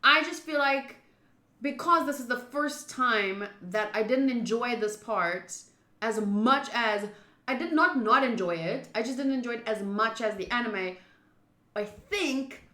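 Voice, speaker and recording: A woman chatting casually on a livestream about a visual novel, expressive and thinking aloud as she goes. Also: on the high side, a little slow, reverberant. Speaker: streamer playing a visual novel.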